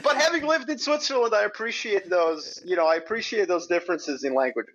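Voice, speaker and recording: A man's voice: high voice, fairly quick, slight background noise. Upbeat male guest on dull remote audio. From Serbia. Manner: upbeat, animated storyteller. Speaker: guest, investment strategist. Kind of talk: casual interview chat. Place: remote, in Switzerland.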